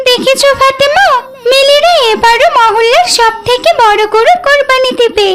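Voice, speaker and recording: A woman performs a principled, pious little girl in a cartoon. The voice is extremely high, with an earnest, preachy way of explaining right and wrong. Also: moderate pace. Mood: observing. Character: pious, principled young girl who admonishes friends. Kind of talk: dubbed children's moral cartoon.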